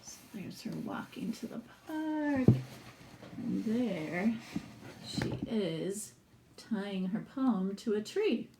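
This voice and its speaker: A woman's voice: medium pitch, expressive, noisy background. A woman who works as a teacher reads a children's story aloud expressively, with casual asides to explain the pictures. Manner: pauses to explain the pictures to listeners.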